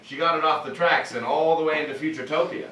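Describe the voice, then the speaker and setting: A performer playing a big, forceful, irritable android in a staged cartoon reading. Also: mid-range voice, steady tone, quick pace, muffled recording, lightly acted. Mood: stern. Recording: echoey room, far from mic.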